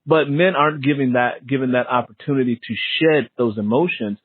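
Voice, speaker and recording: A man hosts a casual interview with a mid-pitched voice recorded very dry. He talks in an explanatory way, slipping into quoted lines to illustrate his points. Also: fairly quick, dry recording, phone-quality sound. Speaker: interview host.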